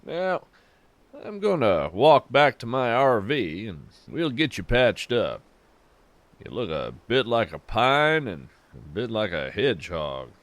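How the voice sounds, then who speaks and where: A man's voice: fairly low pitch, sing-song, slow pace, fairly bright tone, no echo, heavily acted. A man playing a folksy, unflappable naturalist who reassures with wry humor in an audio fiction podcast. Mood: folksy, reassuring.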